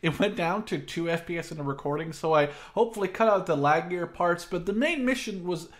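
Casual young man, slightly high voice. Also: a little fast. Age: 20s. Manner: informal, sweary. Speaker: gaming video host and player. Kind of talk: casual gameplay commentary and chat. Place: echoey room at a new place. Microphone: microphone of reduced quality.

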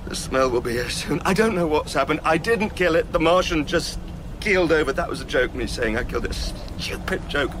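A man's voice: a little high, expressive, a little fast, natural voice. Man acting a half-mad survivor, wildly swooping voice. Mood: shaken, weary. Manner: theatrical, ranting, bitter. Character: desperate, half-mad lone male survivor with a gun. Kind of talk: radio drama acting. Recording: loud ambient effects noise behind voice.

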